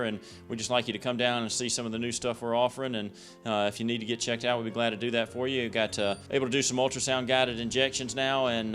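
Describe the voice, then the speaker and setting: Fast-talking, hesitant man in local advert. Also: mid-range voice, a bit bright. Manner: hesitant, frequent ums and uhs. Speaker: clinic representative in an advert. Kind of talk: informal spoken local advert.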